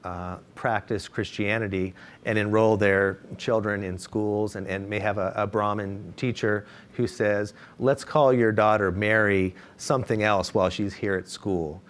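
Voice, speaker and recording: A man's voice: on the low side, fairly even intonation, unhurried. A man on a discussion panel, laying out research methods in a careful, explanatory way with many fillers and restarts.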